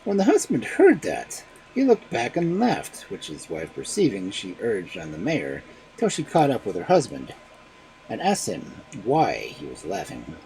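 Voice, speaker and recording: A man hosting a live stream, reading fairy tales aloud in an animated storytelling style with casual asides. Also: fairly low pitch, a little slow, vocal fry, a little hiss or hum, rough recording.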